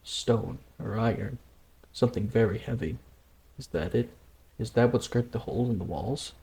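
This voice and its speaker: Guy as young tribesman in manga dub, calm n stubborn, earnest, soft-edged tone with some creak. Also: medium pitch, lightly acted. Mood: intrigued, calm. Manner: earnest, measured explaining. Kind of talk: dramatic manga dub acting.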